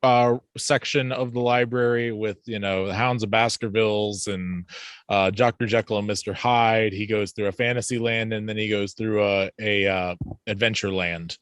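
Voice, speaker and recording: A man's voice: lowish voice, fairly even intonation, normal speed, a bit bright, clean tone, no echo. A man talking casually about books and films as a podcast host.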